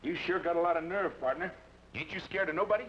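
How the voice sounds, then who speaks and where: A man playing a gruff easygoing cowboy. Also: on the high side, fast, lo-fi sound, natural voice. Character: tough easygoing cowboy, older partner to the kid. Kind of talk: 1940s radio acting. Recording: hissy old radio broadcast.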